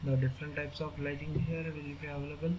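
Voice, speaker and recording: A man with an Indian English accent walking through a software tutorial, speaking haltingly as he clicks through steps. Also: on the high side, level tone. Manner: halting, thinking aloud as he clicks. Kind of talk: screen-recorded software tutorial. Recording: noisy screen recording.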